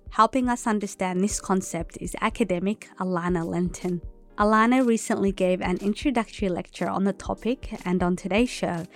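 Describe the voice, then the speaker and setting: Lady radio host reading her intro, bit of music faint underneath. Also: mid-range voice. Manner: scripted, clear presenting. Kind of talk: community radio current affairs hosting.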